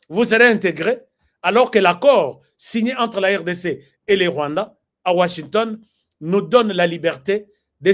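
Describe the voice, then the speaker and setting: Congolese male commentator, high voice, fiery rhetorical delivery, hammers his points. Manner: emphatic, rhetorical, repeats phrases for force. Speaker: political commentator. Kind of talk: impassioned political commentary.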